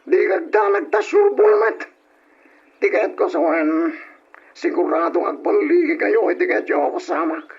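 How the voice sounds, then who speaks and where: Loud pushy man, real high voice, old radio drama, insistent, muffled audio. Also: sing-song, a little slow, breathy edge, heavily acted. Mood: insistent, agitated.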